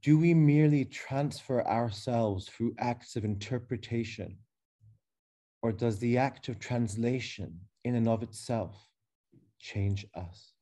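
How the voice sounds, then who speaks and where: A man in his thirties reading a prepared keynote as curator over a webcam video call, slow, measured and reflective, with pauses. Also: mid-range voice, mellow. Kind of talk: reading a prepared lecture text. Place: dark room indoors.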